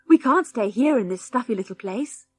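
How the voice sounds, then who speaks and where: A practical British schoolboy played by a woman in a children's storybook adventure. He talks in a brisk, matter-of-fact way. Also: fairly high pitch, animated, breathy edge, no room sound. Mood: demanding.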